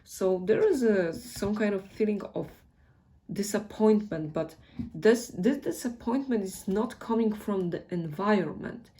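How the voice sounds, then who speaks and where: A woman's voice: medium pitch, unhurried, mellow, rough recording. A woman with a Polish accent, a native Polish speaker, giving a casual tarot reading in a hesitant way and often searching for words. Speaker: tarot reader.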